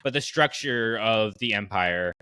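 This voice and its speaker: Male podcast host, slightly low and bright, animated and conversational, dry studio-like sound. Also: measured pace, clean tone, dry recording. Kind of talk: casual fan podcast discussion.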